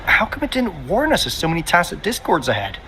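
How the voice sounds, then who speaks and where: A man's voice: on the high side, wildly swooping pitch, fast, background noise. An actor playing a breezy, roguish young man in an anime game, playful and theatrical as he explains things. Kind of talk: anime game dialogue acting.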